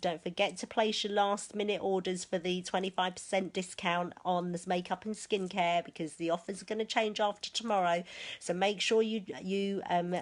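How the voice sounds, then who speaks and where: A woman hosting a casual live stream for her sales team, chatty and encouraging, reading viewers' comments aloud. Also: medium pitch.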